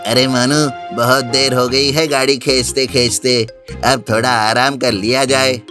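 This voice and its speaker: A man voicing a lazy, sly donkey in a cartoon story, with a drawn-out whine. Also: mid-range voice, level tone, pushed and bright, soft background music. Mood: weary whining. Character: lazy, sly male donkey, scheming and complaining. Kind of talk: animated storybook character voice.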